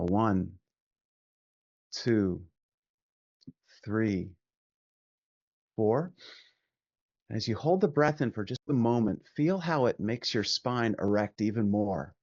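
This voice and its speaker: A man leading a guided meditation as a teacher, speaking gently and soothingly with long pauses between short instructions. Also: fairly low pitch, lively intonation, no room sound, dull audio.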